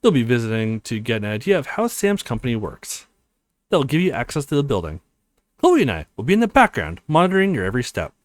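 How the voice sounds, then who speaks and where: Guy reading as earnest young college schemer laying out a plan, lively swings, dry game narration. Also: mid-range voice, quick pace, no echo, pitched below the performer's own voice, natural voice. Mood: earnest, planning.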